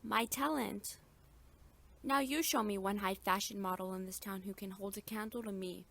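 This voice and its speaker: A woman's voice: medium pitch, moderate pace, creaky, a bit bright, no room sound. A woman playing a bitter, vain mother in a radio drama, with a snippy, theatrical delivery. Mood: irritated, vain.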